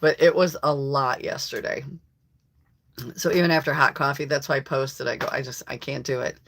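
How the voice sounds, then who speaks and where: A hoarse woman hosting a casual live stream from her country home, chatting to viewers in a rambling way and laughing often. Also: a bass voice, fairly even intonation, moderate pace, fairly bright tone. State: hoarse from allergies. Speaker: livestream host. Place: rural home.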